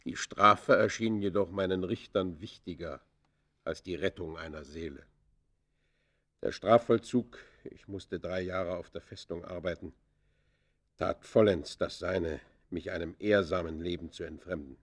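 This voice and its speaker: An actor playing an embittered ex-convict in a radio play, confessing in theatrical, literary that swings from hushed to fierce. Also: male voice, low-pitched, a little slow, heavily acted. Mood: bitter, wounded pride. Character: embittered male ex-convict confessing his descent into crime. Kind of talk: German radio play acting, literary monologue.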